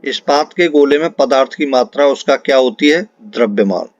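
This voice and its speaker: A man's voice: medium pitch, normal speed, no echo. Man teaching, dry slightly dull recording. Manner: instructive, repeats questions and answers for emphasis. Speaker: teacher for an exam-prep class. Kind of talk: explanatory quiz lesson, talking to students.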